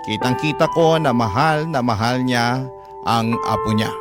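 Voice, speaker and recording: A man's voice: mid-range voice, unhurried. A man reading a scripted first-person story as the narrator of a radio drama. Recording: loud music behind.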